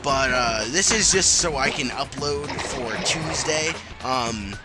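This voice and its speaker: A man's voice: medium pitch, slow pace. A man with a nasal, under-the-weather voice hosting a casual gameplay commentary, rambling with lots of fillers. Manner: rambling with many fillers and restarts. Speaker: let's play host. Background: game music.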